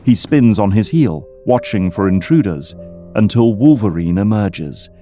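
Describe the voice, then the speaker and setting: A man narrates an audio drama in a low, dark-toned voice. His delivery is scripted storytelling, set over quiet music. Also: lively intonation, a little slow. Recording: music under the voice.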